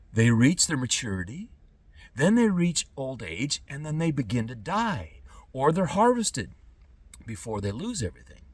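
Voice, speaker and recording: A middle-aged man speaking an unscripted podcast monologue in emphatic, halting phrases with pointed pauses. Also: fairly high pitch, breathy edge, no room sound, recorded from a distance. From born in Trinidad and Tobago, lived in California. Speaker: podcast host.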